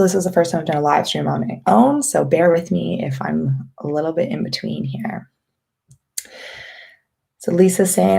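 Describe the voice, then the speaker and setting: Low raspy gravelly woman, chatty. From Canada. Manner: relaxed, rambling, with many ums and restarts. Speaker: vlogger hosting a live Q&A. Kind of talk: casual live-stream chat reading viewer questions.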